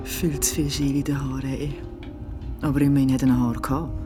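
A woman's voice: very deep voice, level tone, brisk, over music. A woman with a Swiss German accent playing an anxious, driven young mother in a naturalistic audio drama. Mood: narrating, reflective.

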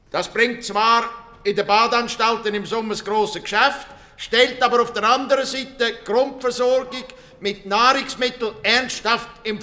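An actor with a Swiss German accent playing a determined farmer giving a formal, emphatic speech in a radio play. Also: male voice, very high-pitched, pushed and bright, exaggerated voice. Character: determined male farmer addressing a village assembly.

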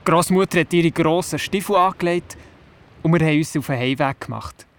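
High-voiced Swiss German boy storyteller, acted by a man. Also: a little fast, natural voice. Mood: reflective. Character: young boy on holiday at his grandmother's, curious. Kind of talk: radio play narration and dialogue.

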